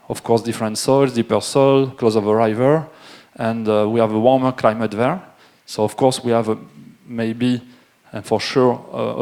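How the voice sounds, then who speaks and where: A middle-aged French man with a French accent, presenting at a wine seminar in a halting way, with many fillers and restarts. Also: mid-range voice, a little mellow. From France. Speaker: winemaker. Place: event room with a live audience.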